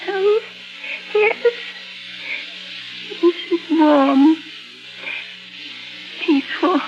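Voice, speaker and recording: A dull old radio recording with music behind carries a fragile, tormented woman painter. Her voice sits high. She speaks haltingly and intensely, in the dramatic manner of 1950s radio suspense. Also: heavily acted. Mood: drowsy, dreamy. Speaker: actor.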